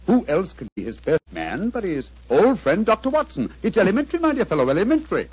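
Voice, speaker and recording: A man's voice: sits high, wildly swooping pitch, quick pace. A man acting a suave, courteous middle-aged British detective in an old 1940s-style radio drama. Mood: cheerful. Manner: urbane, courteous, clipped. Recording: dull lo-fi old radio recording.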